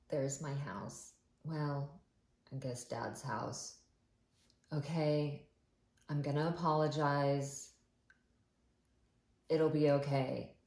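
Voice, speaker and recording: A woman's voice: low-pitched, echoey room, pitched below the performer's own voice, heavily acted. A woman doing a nervous, repentant young man. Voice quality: quiet, hesitant.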